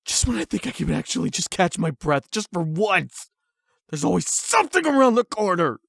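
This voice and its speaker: Anime audio drama: male actor as a bitter, confrontational young punk, emotionally volatile, gravelly, highly animated delivery. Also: moderate pace, no room sound, clean studio sound, full character voice. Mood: desperate, frustrated. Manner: sweary, sarcastic, confrontational. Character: angry, hurt young male delinquent, defensive and defiant. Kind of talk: emotional anime-style audio roleplay acting.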